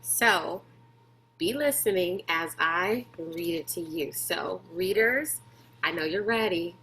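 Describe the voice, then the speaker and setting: A woman narrating a children's storybook aloud like a teacher to pupils, in a deliberate, expressive way. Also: fairly low pitch, slight background noise, rough recording.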